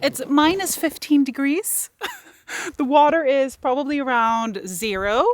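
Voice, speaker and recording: A woman's voice: high voice, measured pace. A woman in her thirties whose first language is Swedish, chatting casually in a vlog outdoors in the freezing cold, laughing often. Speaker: vlogger.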